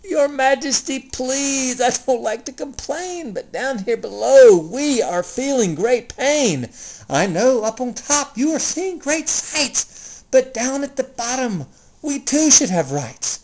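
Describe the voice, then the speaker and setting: A reader puts on a little storybook turtle at the bottom of the heap. He is meek and courteous, his high voice pleading and groaning with aches. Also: very animated, slow pace, a little mellow, full character voice. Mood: suffering, pleading.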